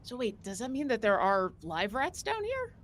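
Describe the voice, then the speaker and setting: A woman's voice: on the high side, wildly swooping pitch, normal speed, slight background noise. A woman playing a tabletop game, talking casually as she describes what her character does.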